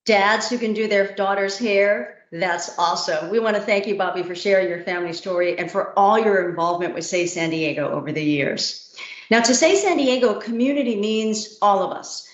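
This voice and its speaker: A woman hosting an event, reading a scripted presentation in a clear, measured way. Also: medium pitch, reverberant, highs cut off. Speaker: event host.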